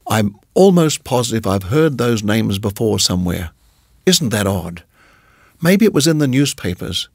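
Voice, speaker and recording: A man's voice: fairly low pitch, sing-song, normal speed, vocal fry, breathy edge, no room sound, clean studio sound, full character voice. A man voicing a polite young male lodger in an audiobook, musing and earnest, a little hesitant. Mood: puzzled. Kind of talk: audiobook character voice.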